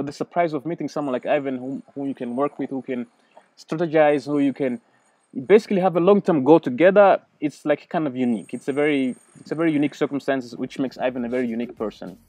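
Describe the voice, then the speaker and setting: A young man from Rwanda who grew up in the Netherlands, hosting and leading an interview in an earnest, relaxed way. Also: on the high side. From Rwanda, raised in the Netherlands. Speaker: host. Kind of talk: scripted intro, then casual interview. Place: indoor room by glass doors for the intro, rooftop terrace for the chat.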